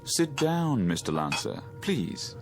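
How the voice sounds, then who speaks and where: A man's voice: medium pitch, very animated, takes it slowly, vocal fry, heavily acted. An actor playing a formal, courteous, reassuring male captain of a wartime ship in a vintage television drama. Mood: politely probing. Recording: old television soundtrack, faint music behind.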